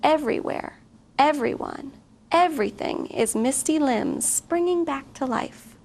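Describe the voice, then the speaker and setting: High, creaky-voiced woman reading a storybook. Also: expressive, measured pace, a little mellow. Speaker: guest story reader. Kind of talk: expressive picture-book read-aloud to children. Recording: faint background noise.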